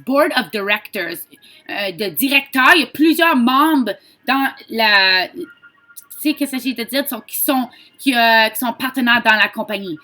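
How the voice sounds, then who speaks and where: A Franco-Ontarian woman with a high, bright voice talks casually as a sales consultant. Her delivery is chatty and informal, with English words slipped into her French. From Ontario, Canada. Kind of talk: casual sales pitch to camera.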